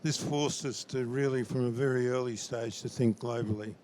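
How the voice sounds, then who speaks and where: An older man answering as a company founder in an interview, speaking in a halting, measured way. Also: mid-range voice, fairly even intonation, a little mellow. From Australia. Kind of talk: conversational business interview. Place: interview lounge at a trade show. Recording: faint background ambience noise.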